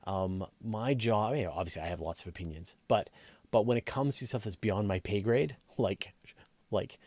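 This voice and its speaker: A man's voice: medium pitch, darkish tone, no room sound. A man hosting a casual live science Q&A, reading out questions and answering off the cuff, thinking aloud and rephrasing as he explains in a conversational way. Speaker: host of a live astronomy Q&A, science journalist.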